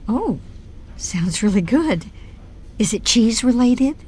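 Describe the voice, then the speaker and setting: A woman's voice: on the high side, very animated, slow, a little mellow, breathy edge, exaggerated voice. A woman playing an anxious, dramatic middle-aged mother in an audio drama, flustered and a little prim. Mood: nervous, hopeful. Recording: faint noise behind the voice.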